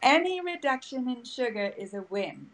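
A woman's voice: fairly high pitch, very animated, normal speed. A woman podcaster answering interview questions in an upbeat, storytelling way over a video call. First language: English. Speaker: guest, podcaster.

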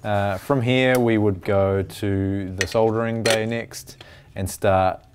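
Deep-voiced young man, reflective interview. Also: fairly even intonation, slow, noisy background. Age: young adult. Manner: reflective, with frequent restarts and filler "uh". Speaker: designer, studio founder. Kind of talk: documentary interview. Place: large indoor design workshop. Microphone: lapel mic.

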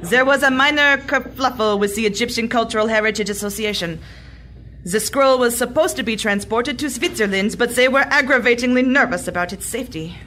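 A woman's voice: on the high side, a little fast, bright, forceful tone, a little hiss or hum. A woman playing an icy, haughty villainess posing as an academic in a comedic audio drama, formal and disdainful. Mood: cool disdain.

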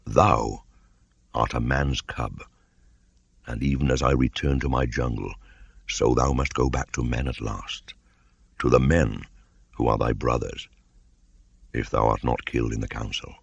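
Guy doing a grave old-time storybook panther, super deep and husky, loving and solemn. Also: fairly even intonation, unhurried, pauses often, no echo, muffled recording, heavily acted. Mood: grave, tender. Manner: solemn, archaic storybook speech.

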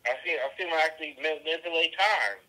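A man speaks quickly over a phone line in a very bright, tense voice. He argues defensively, repeating his denials insistently as a caller. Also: a little high. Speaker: caller, church deacon.

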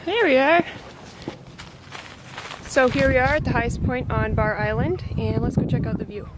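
A woman reporter narrating a hike outdoors in a relaxed, practical, chatty way, speaking into a handheld camera and now and then talking to her dog. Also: high-pitched, moderate pace, very noisy. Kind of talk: casual guided hike narration. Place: outdoors on a coastal trail.

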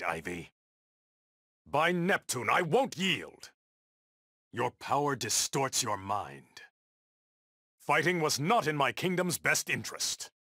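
A man's voice: mid-range voice, pushed and bright, no echo, studio quality, full character voice. An actor playing a proud, stern undersea warrior king in a video game, with a regal, defiant, declamatory delivery. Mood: cold, menacing, then commanding, angry. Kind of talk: video game battle dialogue.

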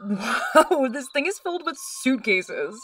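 Cheeky teen girl, bouncy lively voice, a bit far from the mic. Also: sits high, measured pace, faint music behind, heavily acted. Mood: eager. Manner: eager, cheeky. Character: bold curious teenage girl student. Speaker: student.

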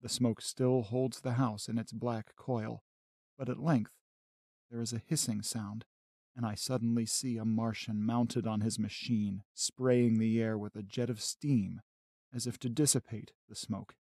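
Radio drama lead: brooding lonely survivor, a male scholar played by a man, deliberate journal narration, close dry sound. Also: medium pitch, little pitch movement, warm tone, dry recording. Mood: grim weariness. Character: haunted male astronomy professor, lonely survivor of invasion.

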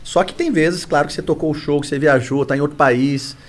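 Fast-talking man on a podcast. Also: a little high. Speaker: guest musician. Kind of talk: casual podcast chat. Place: podcast studio. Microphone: studio mic on boom arm.

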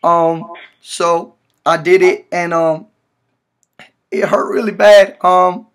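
Man acting a high-pitched whiny hurt young guy. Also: fairly even intonation, takes it slowly, a bit bright. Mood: distressed, whiny. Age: 20s. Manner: whiny, pleading, repetitive, stammering. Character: hurt, frantic, naive young man with bleeding swollen lip. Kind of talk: prank phone call. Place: small room indoors, at a desk.

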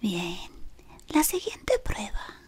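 A young woman playing a devoted dark witch servant in an ASMR roleplay, whispering breathily and deferentially, close to the microphone. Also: mid-range voice, huge pitch swings, husky, exaggerated voice. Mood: deferential, attentive. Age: young adult. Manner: slow, deliberate, deferential. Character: devoted female dark wizard servant tending her lord.

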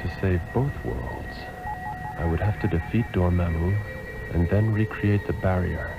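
An actor playing a resolute, principled heroic sorcerer, serious and pondering, in a 1960s radio drama. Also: male voice, low voice, unhurried, vocal fry. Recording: dull lo-fi old radio, loud music.

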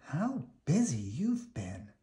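A man's voice: wildly swooping pitch, takes it slowly, mellow, heavily acted. A man voicing small, eager, caring young mice in a storybook, exclaiming with wonder. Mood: admiring. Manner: high-pitched, wondering, exclaiming.

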